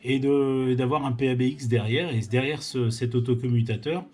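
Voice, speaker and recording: A man's voice: medium pitch, steady tone, normal speed, darkish tone, slightly rough. A man from France hosting an informal amateur radio tutorial, explaining technical things hesitantly and often repeating himself.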